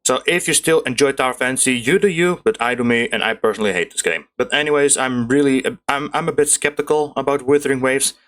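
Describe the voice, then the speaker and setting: A man's voice: medium pitch, fast talker, few pauses, a bit bright. A young man giving casual let's play commentary, rambling informally and correcting himself as he goes. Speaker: gaming video host. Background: game music.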